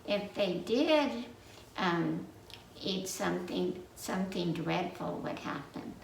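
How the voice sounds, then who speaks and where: A woman telling a children's story from memory in a halting, meandering way, pausing to put questions to her listeners. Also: on the low side, animated, gravelly. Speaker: library storyteller. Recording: roomy, distant mic with faint noise.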